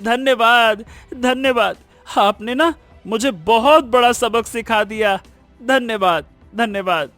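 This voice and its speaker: An actor playing an earnest, humble and repentant village schoolboy in a cartoon moral story. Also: male voice, very high voice, takes it slowly, fairly bright tone, no room sound, soft background music, heavily acted. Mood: grateful. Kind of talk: animated moral story dubbing.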